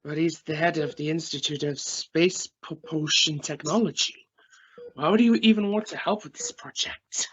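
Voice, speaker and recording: A man's voice: on the high side, moderate pace, highs cut off, a little hiss or hum, natural voice. A man playing an exasperated, suspicious space crewman in an amateur radio drama, questioning emphatically. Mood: puzzled, probing. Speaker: amateur radio play actor.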